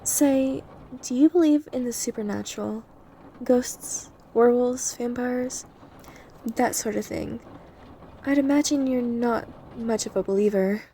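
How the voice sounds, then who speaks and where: Woman as a female vampire, ASMR roleplay, mellow, creaky, coy, eerily polite, softly teasing, obsessive. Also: on the high side, a little slow, slight background noise, low-quality recording. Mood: chatty, polite. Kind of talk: scripted ASMR roleplay addressed to the listener.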